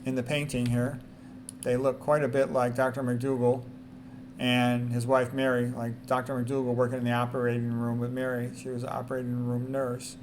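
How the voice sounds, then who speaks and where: Male presenter, mid-pitched, reads quotes and adds informal, emphatic asides; some background noise. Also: completely flat delivery, normal speed. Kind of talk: reading quotes aloud with informal commentary.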